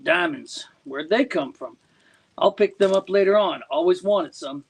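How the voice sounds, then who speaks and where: A stubborn, salty fisherman snaps back at everyone who bothers him, voiced by a man reciting a rhyme. The voice is fairly high for a man and plainly defiant. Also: unhurried, vocal fry, slightly rough, heavily acted. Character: stubborn, feisty male fisherman.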